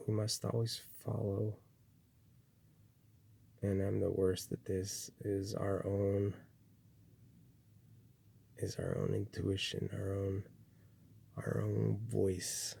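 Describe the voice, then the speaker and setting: A man from Chile speaks in a low, deeply gravelly and husky voice, his tone dark and mellow. He muses slowly on spiritual matters, circling back over his words with pauses and restarts. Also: level tone. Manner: slow, rambling, with many restarts and fillers. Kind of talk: reflective spiritual monologue.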